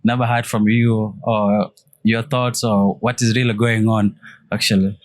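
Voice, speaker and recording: A man hosting a casual interview, informal and quick to interject agreement. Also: lowish voice, level tone, no room sound. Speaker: podcast host.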